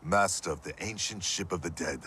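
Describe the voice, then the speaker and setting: A resolute veteran soldier with an extremely deep voice, firm and matter-of-fact. His lines come as game cutscene dialogue. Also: brisk, sharp tone, a little hiss or hum. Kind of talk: video game cutscene acting.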